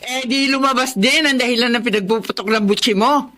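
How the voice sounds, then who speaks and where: A woman's voice: a little high, brisk, pushed and bright, slight background noise. A woman playing a firm older widowed mother, frank and reproachful, in a radio drama. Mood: reproving. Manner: reproachful, plain-spoken. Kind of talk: radio drama acting in Filipino.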